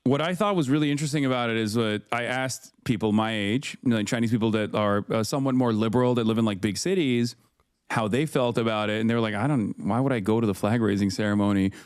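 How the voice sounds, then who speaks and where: A man's voice: fairly low pitch, fast talker, clear, resonant tone, dry recording, studio quality. Guy from turkey rambling on a podcast, lots of uh and like, resonant voice. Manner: rambling, many fillers and restarts. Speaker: political commentator, guest. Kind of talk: casual podcast conversation.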